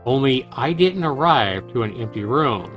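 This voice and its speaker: A man's voice: medium pitch, expressive, moderate pace, fairly bright tone. A man livestreaming a game, reading its narration aloud dramatically with casual asides. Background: game music.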